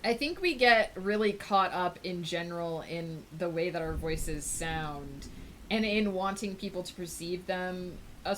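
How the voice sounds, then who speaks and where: A woman livestreamer in casual chat with her viewers, rambling thoughtfully with many fillers and brightening up to greet people in chat. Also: fairly low pitch, a little hiss or hum, poor audio. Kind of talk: casual chat with a live audience.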